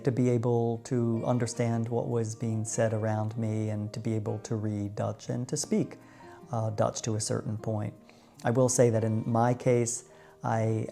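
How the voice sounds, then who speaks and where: A middle-aged man with an American accent tells his personal story to viewers in a measured, reflective way with deliberate pauses. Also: mid-range voice, gravelly, warm tone. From United States. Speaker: vlogger.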